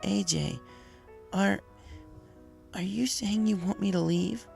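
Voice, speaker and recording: Man acting as brash young pony girl, creaky voice, raised pitch, earnest and blunt, storybook character, music behind. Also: takes it slowly, darkish tone, heavily acted. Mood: hurt, tender.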